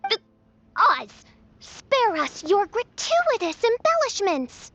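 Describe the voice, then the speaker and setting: A woman's voice: very high voice, very animated, takes it slowly, sharp tone, slight background noise, heavily acted. A woman voicing a haughty, theatrical teenage princess in a video game, with grandiose, pompous delivery. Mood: indignant. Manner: grandiose, pompous, archaic phrasing. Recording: slightly dull audio over music.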